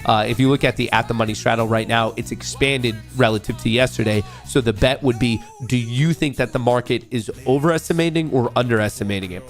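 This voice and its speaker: A young man hosting a loose, joking livestream, talking straight to his chat. His voice has a gravelly, fry-tinged edge and a slightly nasal sound from a cold he's getting over. Soft music plays faintly behind him. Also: mid-range voice, a little fast, few pauses. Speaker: livestream host.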